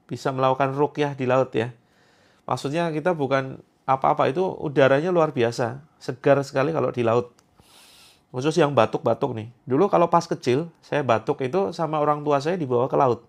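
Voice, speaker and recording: A man's voice: medium pitch, gravelly. A man in his thirties from Indonesia, hosting a livestream where he reads out viewer comments in a chatty, joking way and repeats his points for emphasis. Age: 30s. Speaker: Quran therapy host.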